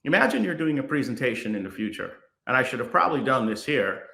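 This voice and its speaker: A man from Guyana giving a keynote over a video call and demonstrating software, measured, with frequent fillers and restarts. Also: mid-range voice, big pitch swings, vocal fry, echoey room. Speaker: keynote speaker. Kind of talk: remote keynote presentation with demo. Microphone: computer mic on video call.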